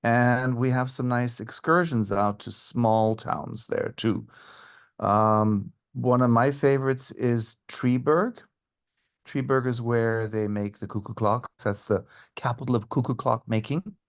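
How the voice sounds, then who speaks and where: A man speaking as a tour guide and historian, giving informative, measured answers in an interview. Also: mid-range voice, steady tone.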